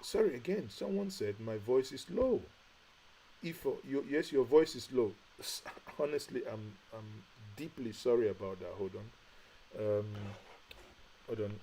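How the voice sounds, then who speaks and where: A man's voice: medium pitch, animated, unhurried, warm tone, slight background noise, low-quality recording. A Nigerian man giving impassioned live-stream commentary, straining his voice and repeating rhetorical questions. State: straining, says he is shouting and has a headache. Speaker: online commentary host.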